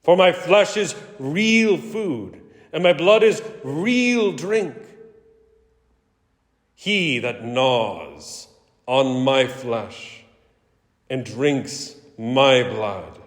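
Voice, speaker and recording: Guy playing weighty authoritative teacher from scripture, really drawn out, pitch soaring and dropping, long echo. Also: lively intonation. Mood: solemn, fervent. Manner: declamatory, weighty, deliberate. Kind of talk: dramatic scripture reading. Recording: echoey hall with long reverb.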